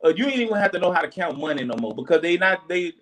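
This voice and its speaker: A man's voice: sits high, animated, rapid-fire, a bit bright. A man on a casual podcast, earnestly pressing advice on parents and repeating "you know what I'm saying.". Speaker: podcast co-host.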